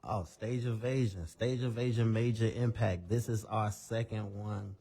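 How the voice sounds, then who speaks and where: A young man speaking as a guest singer in a casual radio interview, relaxed and candid as he tells his story. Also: lowish voice, unhurried, creaky, slightly rough.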